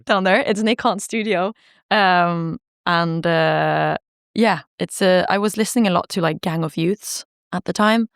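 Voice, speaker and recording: A singer-songwriter woman from Norway, chatting casually in an interview, laughing easily and filling pauses with ums and likes. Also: fairly low pitch, smooth, dry recording, clean studio sound. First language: Norwegian.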